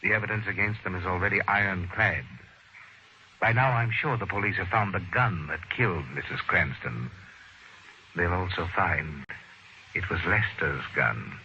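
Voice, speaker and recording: An actor playing a cold, calculating smuggler and murderer in an old radio drama, suave, precise and menacing. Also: male voice, deep voice, normal speed, bright, forceful tone, heavily acted. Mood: smugly persuasive. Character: cold, calculating male diamond-smuggling murderer offering a bribe. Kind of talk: 1940s radio drama acting. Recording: dull, faintly noisy old radio recording.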